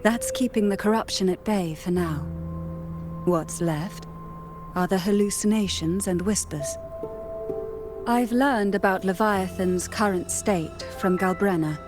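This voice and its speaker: A solemn matriarch from a fantasy video game, played by an actress speaks at a medium pitch. The voice is composed, assured and formal, set over clear background music. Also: female voice, measured pace, close to an everyday voice. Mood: steady, reassuring. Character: solemn, steadfast matriarch of an ancient family.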